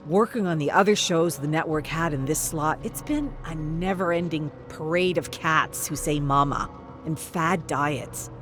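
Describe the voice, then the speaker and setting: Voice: mid-range voice, fairly bright tone. Narrator voicing an awkward, earnest TV man over music. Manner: hesitant, sincere. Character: awkward, earnest veteran male TV colleague.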